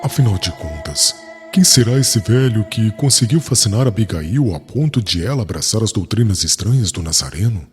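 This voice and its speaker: An actor playing a bitter, indignant young doctor of religious law in a dramatized audiobook, questioning in formal speech. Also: male voice, on the low side, expressive, moderate pace, breathy edge, big-room sound, soft background music.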